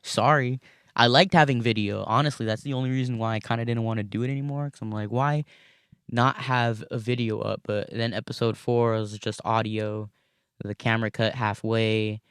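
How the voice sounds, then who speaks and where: A young man hosting a casual solo podcast from inside his car, recorded on a phone, rambling and swearing freely in a thin voice without much bass. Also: steady tone, moderate pace, fairly bright tone, smooth, no echo, clean studio sound. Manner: rambling, profane, laid-back with frequent fillers. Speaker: podcast host.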